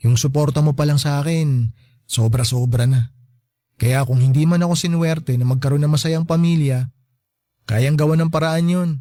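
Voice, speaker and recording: A man's voice: a little high, mellow, no echo, close to an everyday voice. A young man with a Filipino accent acting a hurt, forgiving son and fiancé in a radio drama, earnest and resigned. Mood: earnest, tender. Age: young adult.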